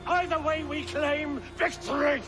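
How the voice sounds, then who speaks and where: An actor playing a fierce, defiant Viking leader rallying warriors in a video game battle. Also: male voice, very high-pitched, fairly even intonation, unhurried, over music.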